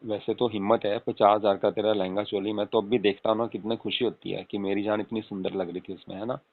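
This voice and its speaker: A man's voice: lowish voice, level tone, fast. A man playing an affectionate young husband on a casual late-night call, coaxing and often asking for agreement. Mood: tender, affectionate. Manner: coaxing, repeatedly seeking agreement with tag questions. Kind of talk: acted casual phone conversation with spouse. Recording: tinny phone-call audio with room echo.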